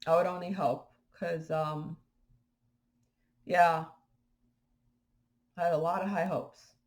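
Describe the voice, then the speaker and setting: A woman from Haiti talking casually to her viewers in a vlog, animated and indignant, with fillers and restarts. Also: on the low side, takes it slowly. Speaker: spiritual vlogger. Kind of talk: casual spoken commentary to viewers.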